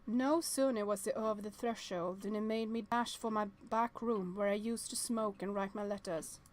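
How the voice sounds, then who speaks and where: A woman's voice: medium pitch, steady tone, normal speed, a little hiss or hum. A woman reading visual novel text aloud and adding chatty reactions as she plays. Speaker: let's-play streamer.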